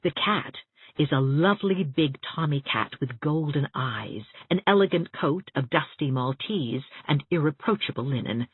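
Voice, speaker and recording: A woman narrates a novel in a very husky, lowish voice. Her delivery is expressive storytelling, and the recording is thin and band-limited. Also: normal speed, no room sound, narrow, tinny audio. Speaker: audiobook narrator.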